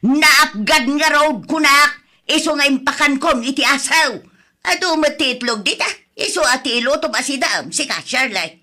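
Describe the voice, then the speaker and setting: An older mother-in-law in a radio drama. Her voice is very bright and cutting, set fairly high. She nags and scolds, harsh and bossy with everyone under her roof. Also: animated, normal speed. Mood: scolding. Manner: nagging, sharp-tongued.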